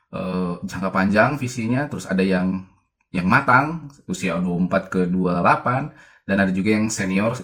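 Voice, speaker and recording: A man's voice: low-pitched, a bit bright. A man giving casual, enthusiastic commentary on football, chatty and full of rhetorical questions. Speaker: commentator narrating a football video.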